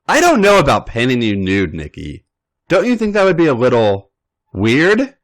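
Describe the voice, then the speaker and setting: A man voicing a friendly young woman who is hosting a girls' night, gentle and a little hesitant. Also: medium pitch, wildly swooping pitch, moderate pace.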